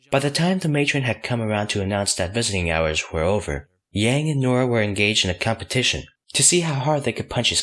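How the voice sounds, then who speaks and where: A man's voice: fairly low pitch, fairly bright tone. A man narrating a fan fiction audiobook from a script.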